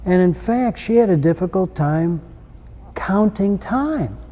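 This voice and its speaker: An older man in a casual interview, telling stories in a relaxed, chuckling way. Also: high voice, takes it slowly. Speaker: interviewee, husband.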